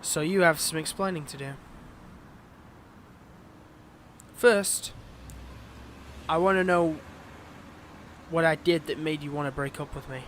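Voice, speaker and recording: A man's voice: fairly high pitch, fairly even intonation, normal speed, long pauses, fairly bright tone, a little hiss or hum, close to an everyday voice. A man playing a smug, possessive fantasy villain in a roleplay audio drama, with a taunting, theatrical manner. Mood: wounded, coaxing.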